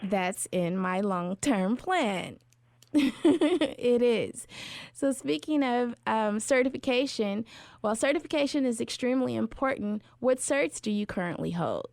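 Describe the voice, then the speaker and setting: A woman with a fairly high voice, recorded dry and close, hosts an interview. She comes across friendly and enthusiastic, leaning on fillers as she frames her questions. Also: animated, unhurried, creaky, darkish tone, dry recording. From California. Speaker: podcast host.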